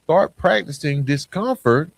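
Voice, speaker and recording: A man hosting a motivational self-improvement podcast, speaking conversationally and stressing his points with deliberate, repeated phrasing. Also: fairly high pitch, lively intonation, gravelly, no room sound. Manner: conversational, informal, with "right?" check-ins. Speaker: podcast host.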